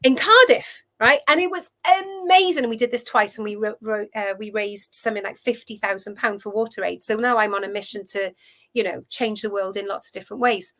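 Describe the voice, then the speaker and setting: A Welsh woman in her fifties, a coach telling her career story in a chatty, animated way as an interview guest. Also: medium pitch, a little fast. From Wales. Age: 50s. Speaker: guest, food relationship coach. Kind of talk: casual interview chat.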